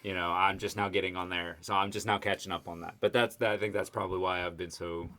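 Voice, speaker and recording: A man's voice: low-pitched, fairly even intonation, quick pace, a bit bright. A man co-hosting a casual group podcast, giving opinions in an earnest, rambling way. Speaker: podcast co-host.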